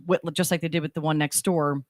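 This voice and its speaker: A woman's voice: low voice, steady tone, a little fast, no room sound, clean studio sound. A middle-aged woman co-hosting a radio talk show, chatting in a rambling way and often restarting her sentences. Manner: rambling, self-interrupting storyteller. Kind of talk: casual chat. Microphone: studio microphone.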